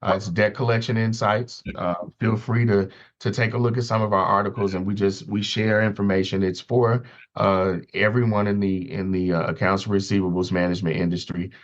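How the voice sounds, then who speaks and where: A man's voice: low voice, flat delivery, moderate pace, muffled recording. A man speaking as a podcast guest, polite and affirming, with frequent uh fillers. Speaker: podcast guest, collections director. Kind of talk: casual interview chat.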